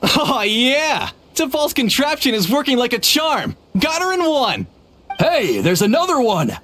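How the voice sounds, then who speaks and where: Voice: sits high, very animated, unhurried, a bit bright, slight background noise, exaggerated voice. An actor playing a cocky, gleeful poacher in a video game, with a boisterous manner. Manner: boisterous, exclamatory. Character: gleeful, cocky poacher gloating over catches.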